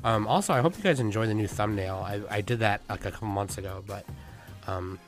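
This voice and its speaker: A man's voice: fairly low pitch, brisk, faint music behind. A man hosting his own casual news show about anime, talking loosely with lots of fillers and restarts. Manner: rambling, many fillers and restarts. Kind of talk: casual chat.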